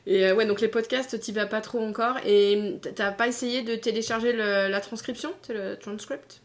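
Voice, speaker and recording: A woman with a fairly high voice who hosts a relaxed interview. She asks questions conversationally and keeps encouraging her guest with short agreements. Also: normal speed. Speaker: interviewer, host.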